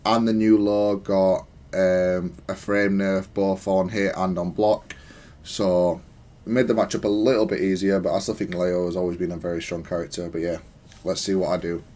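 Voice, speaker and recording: A man's voice: deep voice, little pitch movement, normal speed, mellow. A man giving casual, analytical gameplay commentary in a matter-of-fact, self-critical way. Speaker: gaming content creator.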